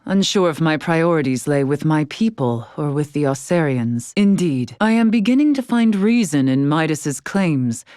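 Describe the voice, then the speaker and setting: Stern scholarly fantasy noblewoman, lowish pitch, formal archaic diction, measured delivery, video game dialogue, close dry sound. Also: expressive, a little mellow, dry recording. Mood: solemn.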